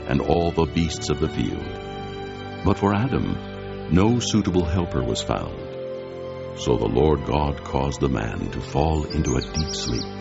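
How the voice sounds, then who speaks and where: Bible narrator, male: extremely deep and gravelly, solemn with wide intonation, music underneath. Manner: solemn, measured storytelling. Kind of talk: dramatized scripture narration. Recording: dull lo-fi audio with music behind.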